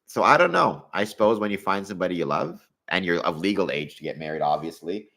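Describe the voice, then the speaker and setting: A man's voice: deep voice, expressive, fast, creaky, pushed and bright. A man in his forties hosting a live stream, chatting casually as he answers questions and thinking aloud with hedging asides. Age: 40s.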